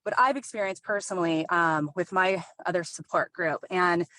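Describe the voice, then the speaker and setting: A woman's voice: fairly low pitch, normal speed, sharp tone, no echo. A woman sharing earnestly with many fillers from a car on a group video call. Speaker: group participant, single mother.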